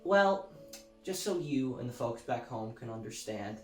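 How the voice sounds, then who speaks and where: Actor playing a gushing celebrity pitchman, echoey over music. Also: lively intonation, measured pace, lightly acted. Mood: breezy salesmanship. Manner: gushing, self-satisfied, dramatic emphasis. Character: showy celebrity musician pitching a sci-fi service, gushing salesman. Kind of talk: theatrical infomercial-style pitch in an audio drama. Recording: roomy echo, music underneath.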